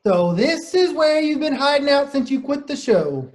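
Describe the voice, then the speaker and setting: A man's voice: extremely high pitch, very animated, normal speed. An actor playing a flashy, jovial young male vaudevillian with a slangy, wisecracking delivery in a play reading. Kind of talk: play table read.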